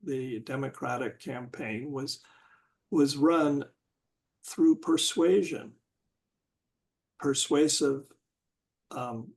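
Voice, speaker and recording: A man in a casual group discussion, talking haltingly and searching for words with many restarts. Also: mid-range voice, gravelly, a little mellow.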